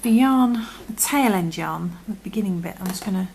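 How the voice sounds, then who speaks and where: A woman with a croaky voice, patiently talking through a crochet tutorial and often dropping to a half-whisper as she works. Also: medium pitch, animated, moderate pace, a little mellow. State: croaky from illness. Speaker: crochet instructor. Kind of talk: step-by-step tutorial.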